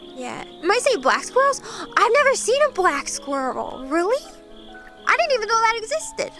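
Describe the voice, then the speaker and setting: High, bright, giggly woman streamer. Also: big pitch swings, moderate pace, faint music behind. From eastern Washington, USA. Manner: bubbly and giggly, gasps and laughs often. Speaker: VTuber streamer. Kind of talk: casual chat with viewers, reading comments.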